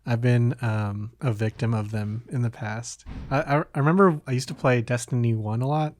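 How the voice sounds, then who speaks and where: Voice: mid-range voice, fairly even intonation, normal speed, darkish tone. A podcast host chatting casually about video games in an informal, slightly hesitant way.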